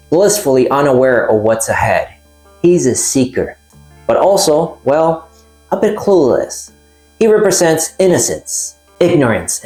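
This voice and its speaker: Man, essay narrator, measured and wry, roomy sound, faint music under him. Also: medium pitch, a little mellow. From Puerto Rico. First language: Spanish. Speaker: video essayist. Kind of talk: reflective video essay narration.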